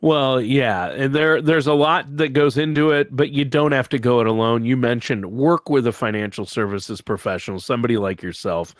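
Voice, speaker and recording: A man's voice: mid-range voice, moderate pace, hardly any pauses, no room sound, studio quality. A man co-hosting a finance talk show, chatting casually and prompting questions. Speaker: co-host.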